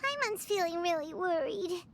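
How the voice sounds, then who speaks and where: Tiny fairy sidekick, video game: extremely high squeaky voice, wildly animated, childish, anxious, dramatic. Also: female voice, moderate pace. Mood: worried. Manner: childish, dramatic, refers to self in third person. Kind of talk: video game character dialogue.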